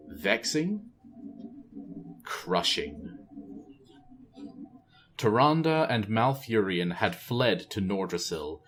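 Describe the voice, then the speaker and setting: A man reading a novel aloud in a casual, expressive way. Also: fairly low pitch. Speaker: storyteller.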